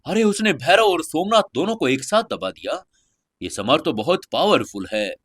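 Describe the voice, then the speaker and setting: A man's voice: a little high, very animated, moderate pace, a bit bright. Man playing an impressed middle-aged male onlooker. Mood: astonished.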